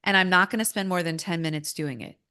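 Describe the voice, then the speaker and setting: An American woman converses as an interviewer. Her voice sits a little lower than most women's, and she phrases her thoughts in a reflective, deliberate way. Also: sharp tone, clean tone.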